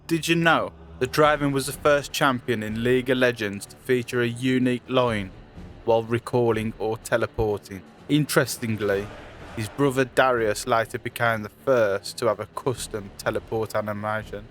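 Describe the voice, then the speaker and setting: A male video narrator with a mid-pitched voice delivers scripted trivia. Faint music plays behind him as he reads. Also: fairly even intonation, moderate pace, a bit bright.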